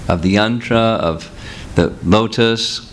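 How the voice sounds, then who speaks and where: Man, low voice, spiritual teacher, patient explanations, seminar Q&A, handheld mic, faint background noise. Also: slow pace. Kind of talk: seminar talk answering questions. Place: seminar room with audience.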